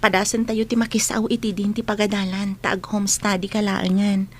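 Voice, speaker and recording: A woman's voice: mid-range voice, flat delivery, normal speed, natural voice. A woman playing a caring, earnest schoolgirl friend in a radio drama. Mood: caring, concerned. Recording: faint background ambience and noise.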